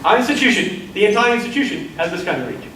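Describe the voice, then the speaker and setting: A man's voice: sits high, big pitch swings, fast talker, bright, forceful tone, a little hiss or hum. A middle-aged South African man giving an emphatic keynote lecture, addressing the audience as colleagues. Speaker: keynote speaker. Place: lecture hall. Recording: roomy echo from far mic, faint ambience.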